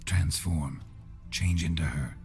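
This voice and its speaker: An actor playing a stoic, dry-witted monster hunter in a video game, speaking tersely and deadpan. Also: male voice, extremely low pitch, slow. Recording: music behind the voice.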